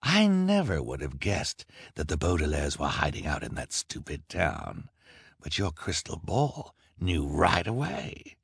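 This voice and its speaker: A man's voice: very deep voice, huge pitch swings, unhurried, creaky, breathy edge, no room sound, clean studio sound, exaggerated voice. A man voicing a greedy, scheming villain who speaks in a smug, cold way.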